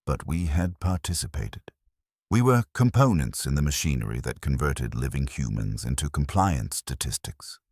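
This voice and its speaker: A man with a husky, gravelly voice narrating a dramatic scripted story in a solemn, measured way, in short clipped phrases. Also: a bass voice, animated, a little mellow, dry recording, studio quality. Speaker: narrator of lore stories.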